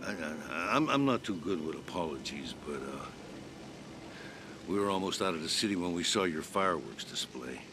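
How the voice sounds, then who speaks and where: An actor playing a gruff, reluctant male soldier in a video game, speaking haltingly. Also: mid-range voice, expressive, music in the background. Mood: sheepish. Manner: halting, hesitant.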